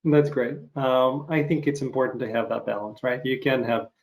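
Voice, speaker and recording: Gravelly male interviewer, musing and halting. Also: mid-range voice, fairly even intonation. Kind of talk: conversational interview.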